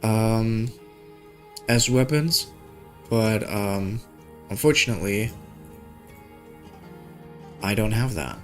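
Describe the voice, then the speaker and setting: A man's voice: fairly low pitch, slow, long pauses, soft background music. A man talking casually as a game streamer, thinking aloud in informal, slangy language. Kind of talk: casual gaming stream chat.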